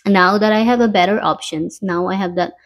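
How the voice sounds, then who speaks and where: A middle-aged woman from the Philippines speaks with a Filipino accent at an average female pitch. She talks in a casual, halting vlog style, as if sharing her story directly with viewers, often seeking their agreement as she goes. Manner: halting, conversational, frequently seeking agreement with "you know". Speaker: vlogger. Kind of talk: casual personal storytelling to camera.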